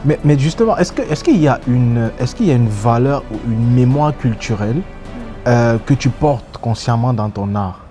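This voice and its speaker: A man's voice: medium pitch, animated, normal speed, soft background music. Stammering male host with affirming asides. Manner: stammering restarts, warm affirmations, laughs along. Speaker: interview host. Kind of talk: casual interview conversation.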